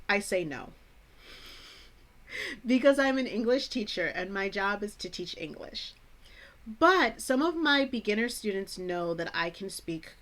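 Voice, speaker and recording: Slow, deliberate American woman teaching. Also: mid-range voice. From American, Chicago suburbs. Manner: clear, deliberate teacher delivery with playful asides. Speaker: English teacher, channel host. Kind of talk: scripted educational vlog.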